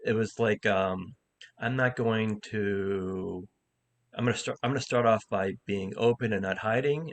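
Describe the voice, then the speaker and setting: A man who stutters, an improv teacher and comic, talking candidly in a casual conversation with many repeated words and restarts. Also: lowish voice. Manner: candid, halting, thoughtful.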